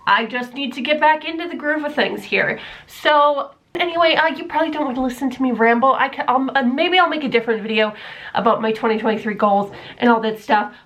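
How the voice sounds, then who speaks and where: A woman's voice: sits high, fairly bright tone. Chatty, rambling woman with a stuffy nose. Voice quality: stuffy, nasal from allergies. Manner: rambling, many fillers, self-deprecating. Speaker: book vlogger. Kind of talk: casual chatty vlog. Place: room at home, not yet set up for filming. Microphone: camera mic, camera propped on books.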